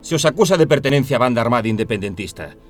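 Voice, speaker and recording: A harsh police officer, played by a man, barks orders and sneers at the people he arrests, his pitch jumping sharply as he shouts and his words coming quick. Music runs faintly under this radio drama scene. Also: animated, lightly acted. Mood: menacing. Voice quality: barking, contemptuous. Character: harsh bullying male police officer, middle-aged.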